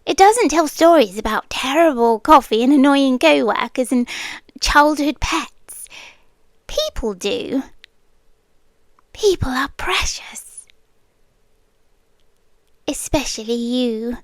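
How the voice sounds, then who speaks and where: A British woman playing a possessive, sweetly menacing sea-spirit girlfriend in an intimate ASMR roleplay, coaxing and often slipping into breathy whispers. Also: sits high, lively intonation, takes it slowly, long pauses, dry recording. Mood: possessive, adoring. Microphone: close microphone, dry.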